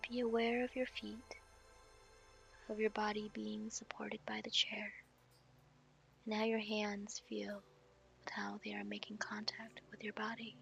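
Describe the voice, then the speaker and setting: Meditation guide: woman, hushed unhurried delivery, faint music bed. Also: medium pitch, completely flat delivery, vocal fry. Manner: soft, slow, whispery delivery.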